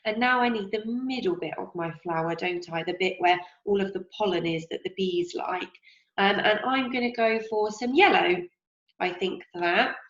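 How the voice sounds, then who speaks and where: A young woman teacher with a slightly croaky voice, gently leading a craft lesson for young children and asking them questions as she goes. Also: mid-range voice. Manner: slow, encouraging, asks children questions. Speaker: primary school teacher. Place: home kitchen, indoors. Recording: roomy, dull lo-fi sound.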